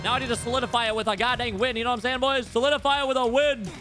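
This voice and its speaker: A man's voice: very high-pitched, steady tone, racing, loud music behind the voice. A man in his twenties doing hyped, slangy, boastful gameplay commentary. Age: 20s. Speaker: gaming streamer.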